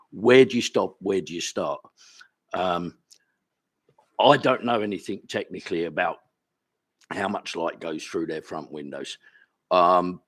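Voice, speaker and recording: A man with a British accent and a loud voice, a local resident speaking at a council meeting in a plain-spoken way. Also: low voice. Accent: British English. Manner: plain-spoken, repeats words.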